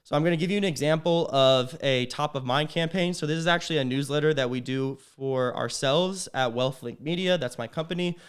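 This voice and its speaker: A young man talking through a business tutorial in a loose, conversational way, tagging his points with "right?". His voice is smooth and resonant underneath, with a slight rasp from a cold. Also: fairly high pitch, fairly quick, hardly any pauses. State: a little sick, slightly raspy. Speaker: agency owner teaching business sales.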